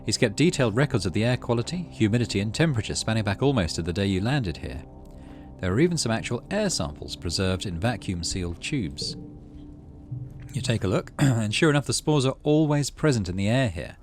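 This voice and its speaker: A man's voice: lowish voice, very animated, brisk, faint music behind. A man hosting a gaming video, reading story text aloud and adding muttered asides as he plays.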